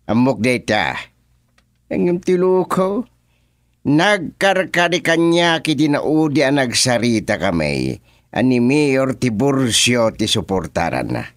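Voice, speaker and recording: A man's voice: medium pitch, sing-song, takes it slowly, dry recording, studio quality. An actor with an Ilocano Filipino accent playing an indignant village chairman in a radio comedy-drama. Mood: annoyed. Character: indignant male village chairman, easily riled.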